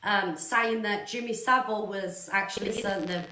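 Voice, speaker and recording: A woman's voice: mid-range voice, normal speed, crowd noise. A woman in a live online argument, speaking defensively and emphatically and repeating her points. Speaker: livestream debate participant. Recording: echoey room sound.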